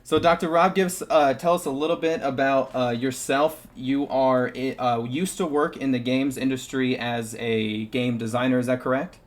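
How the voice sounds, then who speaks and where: A man's voice: medium pitch, steady tone, moderate pace, few pauses. A young man hosting a live-streamed gaming talk show, upbeat and chatty, with frequent fillers. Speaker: stream host.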